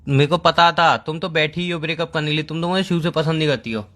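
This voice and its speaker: A man's voice: a little high, fast, bright, forceful tone. A young man playing an exasperated boyfriend with a flowery, dramatic way of talking in a staged phone-call argument. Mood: indignant. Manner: flowery phrasing, easily irritated.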